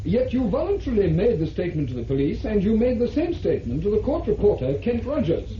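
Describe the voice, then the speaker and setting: High, animated probing barrister. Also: male voice, fast talker. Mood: probing, accusing. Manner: formal, probing, theatrical. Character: sharp, incredulous cross-examining barrister. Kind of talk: vintage radio drama acting. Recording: echoey, muffled vintage radio with noise.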